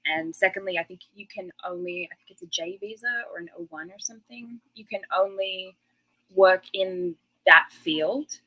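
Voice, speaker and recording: A woman's voice: medium pitch, normal speed, bright, forceful tone, low-quality recording. An Australian woman in her thirties, a guest chatting casually and laughing often. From Western Australia. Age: 30s. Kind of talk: casual interview chat.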